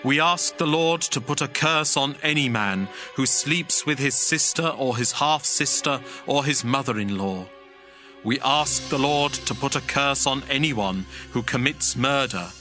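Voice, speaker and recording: A man playing a stern cleric who solemnly pronounces curses in formal, deliberate cadence, in a dramatized scripture recitation. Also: mid-range voice, bright, forceful tone. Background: music.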